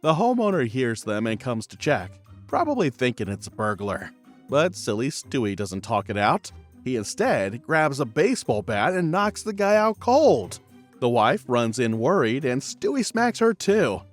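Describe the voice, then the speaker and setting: A man narrating a cartoon recap in a playful, chatty scripted style. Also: medium pitch. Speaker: channel narrator.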